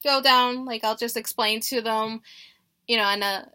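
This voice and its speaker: A young woman job applicant answering phone interview questions in a nervous, halting and hesitant manner. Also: on the high side, steady tone, pushed and bright, clear, resonant tone. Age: young adult.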